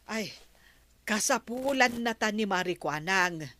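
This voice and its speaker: A woman playing a chatty, inquisitive neighbour in an Ilocano comedy drama, expressive and full of exclamations. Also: mid-range voice, measured pace. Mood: concerned, eager.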